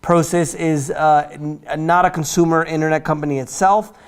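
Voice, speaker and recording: A man's voice: on the high side, steady tone, moderate pace, clear, resonant tone. A man hosting a financial news roundup, reading items from notes in a chatty, informal way, with casual asides and stumbles that he corrects as he goes.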